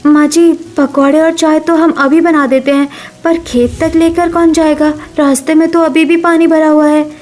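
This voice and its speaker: A high-pitched young daughter-in-law from a family cartoon, voiced by a woman. She sounds dutiful and deferential, worrying politely over her family. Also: level tone, normal speed, hardly any pauses, a little mellow, close to an everyday voice. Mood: worried. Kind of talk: Hindi animated family story acting.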